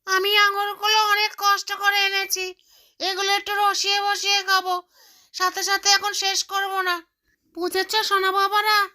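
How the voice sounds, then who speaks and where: A woman's voice: extremely high pitch, completely flat delivery, a little slow, sharp tone, full character voice. A woman voicing a little cartoon bird with a childlike, chattering manner. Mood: selfish, firm. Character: greedy, stingy little mother bird and her chirpy chicks. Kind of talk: cartoon character voice.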